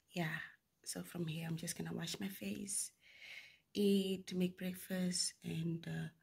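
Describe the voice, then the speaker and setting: A young woman from Namibia vlogging at home, talking softly and casually into a close phone camera, her voice deep and rough from a flu. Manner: soft-spoken, often near a whisper, relaxed. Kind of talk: casual vlog chat. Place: bedroom indoors.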